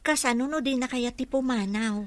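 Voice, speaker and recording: A woman's voice: sits high, brisk, no room sound, close to an everyday voice. An actress with an Ilocano accent playing a caring, respectful nurse and mother in a radio drama. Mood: concerned.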